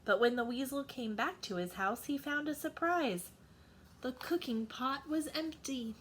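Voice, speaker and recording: A woman's voice: high voice, unhurried, fairly bright tone, slight background noise, low-quality recording. A woman narrating a children's storybook as a teacher reading to young listeners.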